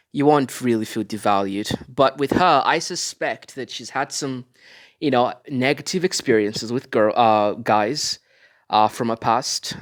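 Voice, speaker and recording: A man from Africa giving reaction commentary on a video, lecturing his viewers with frequent restarts and fillers. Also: mid-range voice.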